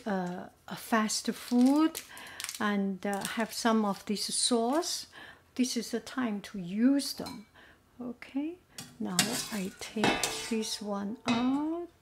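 A woman's voice: mid-range voice, takes it slowly, slight background noise. A woman giving a casual home cooking demo, thinking aloud with many fillers. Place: home kitchen at a gas stove.

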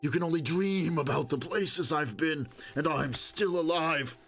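A man voicing a wounded, wheedling old stalker in an audiobook, boastful and desperate, with a hoarse, strained, pleading voice. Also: on the high side, unhurried, a bit bright, pitched above the performer's own voice. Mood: feverish pleading. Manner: pleading, moaning, insistent.

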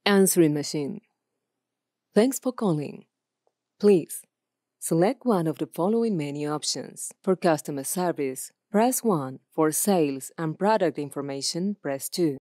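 A woman's voice: sits low, expressive, unhurried, mellow, no echo, clean studio sound. A woman from Argentina with an Argentine Spanish accent, a native Spanish speaker, reading scripted commercial and e-learning narration as a voice-over artist.

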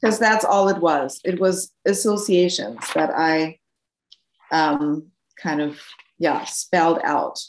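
A woman's voice: low-pitched, slow pace, roomy sound, a little hiss or hum, poor audio. A woman in her thirties, a native German speaker with a German accent, answering questions after an art lecture, thoughtful and searching for words with many fillers. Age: 30s. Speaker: guest artist lecturer.

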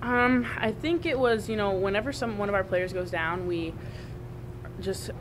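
A young adult woman rugby player answering press questions at a table mic, earnest and restarting her phrases. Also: normal speed. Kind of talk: postgame press conference answers. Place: press room at a draped table. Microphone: table mic on a small stand. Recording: steady background rumble.

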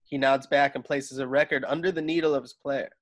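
A man's voice: mid-range voice, fairly even intonation, brisk. A man narrating stage directions from a script at a table read over a video call.

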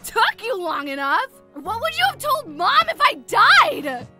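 Anime little sister acted by a woman: teenage, blunt, sarcastic, extremely high, very animated. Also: a little slow, exaggerated voice. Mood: snarky. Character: snarky, sharp-tongued teenage little sister. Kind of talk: anime parody dub. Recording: music behind the voice.

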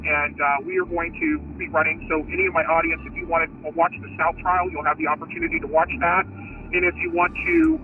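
A woman in her forties talks casually to her audience from a car seat. Her voice is bright and forceful, with faint music behind it. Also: on the low side, rough recording. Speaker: livestream channel host. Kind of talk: casual update to viewers. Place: inside a car, in the driver's seat.

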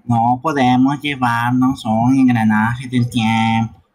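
A man's voice: mid-range voice, little pitch movement, slow pace, a little mellow. A man streaming a live fan dub of a video game, reading its dialogue aloud in character. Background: game music.

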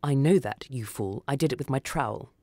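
A woman's voice: extremely low pitch, fairly quick, a little mellow, dry recording. A woman voicing a rude, insistent eighty-year-old village woman in a radio short story. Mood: indignant, scornful. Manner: blunt, insistent, scornful.

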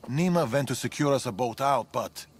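An actor playing a world-weary, guarded fugitive in ancient Greece, speaking gravely and deliberately in a video game cutscene. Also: male voice, mid-range voice, natural voice. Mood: grave, wary. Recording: game music behind the voice. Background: background music.

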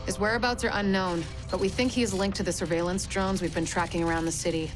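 A woman's voice: mid-range voice, fairly even intonation, fast talker, music in the background, close to an everyday voice. A woman playing a focused agent who delivers a businesslike, directive mission briefing in a video game. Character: focused female agent briefing the field team.

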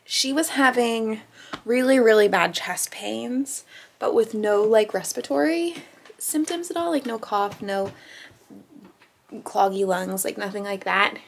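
A woman's voice: a little high, measured pace, creaky, slight background noise. A young woman vlogging at home, talking to a handheld camera in a chatty, caring way. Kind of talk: casual vlog chat. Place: rooms of a home. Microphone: handheld camera at arm's length.

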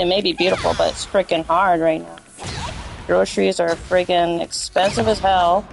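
A woman's voice: medium pitch, creaky. A young woman with a Canadian accent chatting casually and bluntly in slang while she plays a fighting game. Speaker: video game streamer. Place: indoor room, game music behind.

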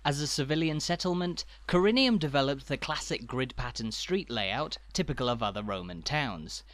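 A man narrating a walking tour in a measured, informative way. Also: mid-range voice, expressive, a bit bright, no echo. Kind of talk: scripted narration.

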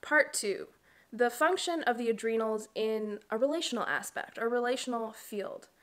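A woman's voice: a little high, moderate pace. Woman in her 30s, wellness host, a bit nasal from allergies, explanatory talk to camera at home. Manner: warm, explanatory, with chatty asides. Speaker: wellness coach and channel host. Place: home living room.

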